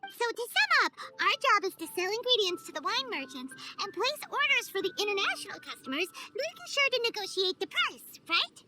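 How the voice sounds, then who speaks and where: A woman voicing a small, childlike fairy companion in a video game, cheerful, excitable and self-important, with a chirpy manner. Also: very high voice, brisk, few pauses, music in the background. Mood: curious, businesslike. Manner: speaks of herself in third person, chirpy.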